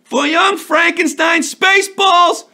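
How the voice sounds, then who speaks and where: Playful slightly hoarse male quiz host. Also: very high-pitched, steady tone, sharp tone. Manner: playful, jokey, slips into quick impressions and sung bits. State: slightly hoarse from a sore throat. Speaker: trivia game show host. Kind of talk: upbeat live quiz hosting. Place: studio before a green screen.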